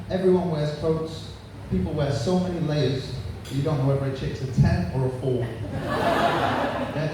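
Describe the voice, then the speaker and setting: A man in his thirties with a Manchester accent, doing a crude, punchy stand-up set on a pub stage. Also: on the high side. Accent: Manchester English. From England. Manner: punchy crude joke delivery. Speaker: stand-up comedian. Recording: echoey room from far off, noisy.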